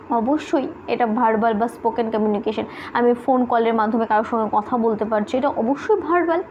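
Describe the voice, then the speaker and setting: A woman gives a classroom-style explanation. She restates key points and often poses a question before answering it herself. The recording is somewhat dull, with light noise behind her. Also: on the high side. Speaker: teacher. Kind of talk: explanatory classroom lesson in Bengali mixed with English terms. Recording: dull lo-fi sound with background noise.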